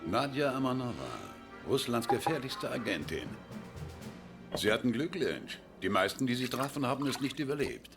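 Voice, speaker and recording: A stern British general briefing his soldier in a game cutscene. He is commanding and grave, with a mid pitch that moves widely. A clear musical score runs underneath. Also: very animated, moderate pace, over music. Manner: authoritative, grave. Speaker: video game character in cutscenes.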